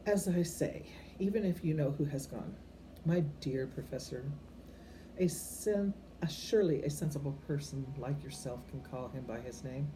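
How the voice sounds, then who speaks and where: A kindly old wizard headmaster, as a woman reads him, low and very mellow. He speaks in a calm, measured, gently whimsical storybook manner. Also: a little hiss or hum, poor audio. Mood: gently reasoning.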